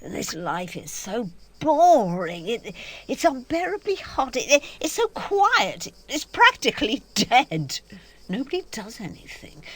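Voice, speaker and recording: Theatrical diva, acted by a woman, self-absorbed, swooping pitch, unhurried, audio drama. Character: theatrical, restless actress, bored and self-absorbed.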